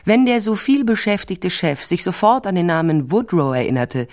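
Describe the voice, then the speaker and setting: A woman's voice: fairly low pitch, very animated, normal speed, darkish tone. An actress playing a blunt private investigator who narrates her case with dry sarcasm in a crime radio drama. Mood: dry, sardonic. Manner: dry, sardonic.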